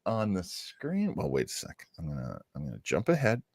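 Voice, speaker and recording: A man's voice: low voice, wildly swooping pitch, fairly quick, vocal fry, darkish tone, no echo, clean studio sound. A man co-hosting a radio show, chatting casually and hesitantly, with playful self-deprecation as he introduces old-time radio.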